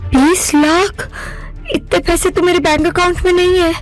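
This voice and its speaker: Earnest, high-voiced young daughter. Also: female voice, fairly even intonation, moderate pace, faint music behind. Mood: shocked, worried. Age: young adult. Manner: pleading, emotional, stubborn. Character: distressed but defiant young daughter, earnest. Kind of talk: melodramatic Hindi audio drama acting.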